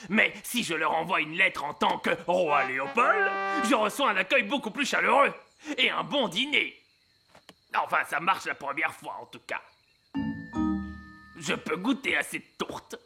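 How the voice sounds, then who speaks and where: Cheeky garden gnome for animation: creaky, leisurely, self-satisfied, long-winded, with music behind. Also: male voice, high-pitched, expressive, unhurried, heavily acted. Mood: matter-of-fact. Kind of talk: animated children's cartoon dub.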